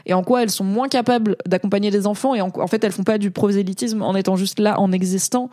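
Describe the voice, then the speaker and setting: A woman's voice: mid-range voice, steady tone, fast talker, mellow, dry recording, clean studio sound. A woman from France giving casual, slangy commentary as a streamer reading chat, talking in a rambling way with frequent hesitations.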